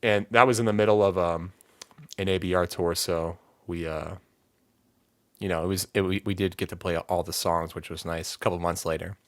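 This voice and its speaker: A man's voice: deep voice, fairly even intonation, normal speed, no room sound. A man talking as a podcast guest in easygoing chat, rambling with fillers and restarts. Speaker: band vocalist, podcast guest. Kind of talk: casual interview chat.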